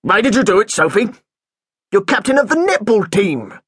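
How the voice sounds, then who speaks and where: A man voicing an irritable male school headteacher who snaps and accuses, with a husky voice. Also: high voice, sing-song, moderate pace, fairly bright tone, dry recording, clean studio sound, voice pushed up, heavily acted. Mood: stern. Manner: snapping, impatient, accusing.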